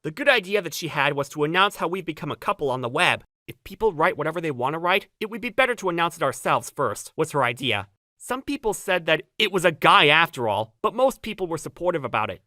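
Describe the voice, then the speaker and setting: Woman as an earnest low-voiced young man. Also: sing-song, fast, sharp tone, no room sound, clean studio sound, heavily acted. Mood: content. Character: earnest, protective young male baker in love. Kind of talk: manga dub dialogue and narration.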